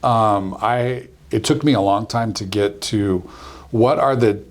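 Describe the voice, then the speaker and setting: Low-voiced easygoing man. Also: measured pace. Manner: easygoing, rambling, frequent ums. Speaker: insurance agency owner, guest. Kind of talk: casual podcast interview.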